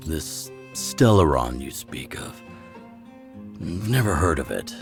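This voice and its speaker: A man voicing a gruff, wry older rebel chief in a video game, with a measured, sardonic delivery. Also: big pitch swings, heavily acted. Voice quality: deep, gravelly. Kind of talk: scripted video game voice acting. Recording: game music under the voice. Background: game music.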